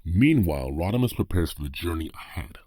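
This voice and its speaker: A man's voice: deep voice, lively intonation, fairly quick, noisy background. A man reading scripted narration for an audio drama.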